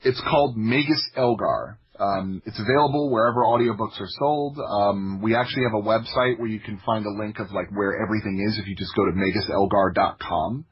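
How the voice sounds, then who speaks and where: A man's voice: lowish voice, a little fast, few pauses, slightly rough, lo-fi sound. An American man telling stories casually as a podcast guest, in an anecdotal, self-interrupting way peppered with "like". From Florida, United States. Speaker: podcast guest, audio drama creator. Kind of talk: casual conversational storytelling.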